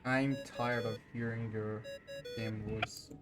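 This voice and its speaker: A man's voice: on the low side, little pitch movement, takes it slowly, over loud music. A man giving casual commentary as he plays a video game. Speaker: gamer.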